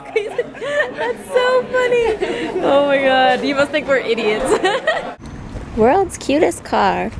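A woman's voice: high-pitched, big pitch swings, slow pace, a crowd in the background. A young woman chatting and laughing as she films herself on a foggy hike, talking to friends off camera. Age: young adult. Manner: chatty, giggly, self-narrating. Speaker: vlogger. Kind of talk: casual travel vlog. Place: outdoors on a foggy mountain hike, later a pub and car. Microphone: handheld camera held at arm's length.

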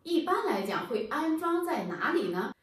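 A woman's voice: high voice, big pitch swings, normal speed, recorded from a distance. Woman explaining in a very echoey room. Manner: patient, explanatory, addresses viewers directly. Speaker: HVAC channel presenter. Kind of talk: instructional explainer. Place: plain indoor room against a bare wall.

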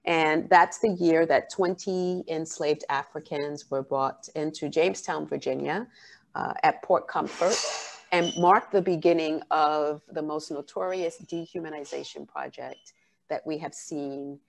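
Low-voiced woman giving an earnest keynote. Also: fairly even intonation, creaky, a little hiss or hum. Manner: earnest, measured, with frequent fillers. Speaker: keynote speaker. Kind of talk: academic keynote talk. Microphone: video call.

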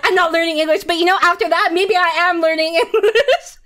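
A woman's voice: very high voice, fairly quick, very noisy. A woman streamer giving a self-mocking stream self-introduction, often laughing. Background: faint music.

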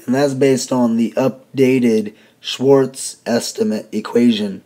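A hoarse man giving a casual, folksy whiteboard lecture as a teacher. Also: mid-range voice, fairly even intonation, slow, warm tone. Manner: folksy, conversational explaining.

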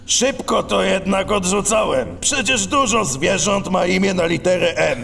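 An actor playing a stern, weary animal police chief in a children's audio drama, speaking in a grudging, terse way. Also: male voice, high-pitched, unhurried, gravelly, a bit bright, full character voice. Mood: weary, reproachful. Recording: long cavernous reverb, music behind.

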